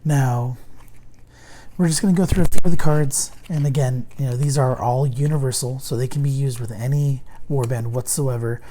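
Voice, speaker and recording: A man hosts a relaxed card review while his voice is nearly gone, so it sounds hoarse and strained. His tone is dark and mellow. He talks in a halting, meandering way, with frequent ums and restarts. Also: medium pitch, slight background noise. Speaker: host reviewing a card game deck. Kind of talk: casual unboxing and card review.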